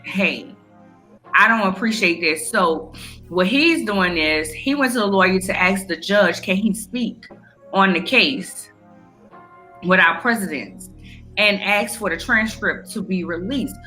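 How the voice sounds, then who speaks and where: A woman's voice: medium pitch, measured pace, sharp tone, faint music behind. A blunt, opinionated American woman co-hosting a podcast and casually talking through the news. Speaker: podcast co-host. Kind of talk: casual news discussion between friends.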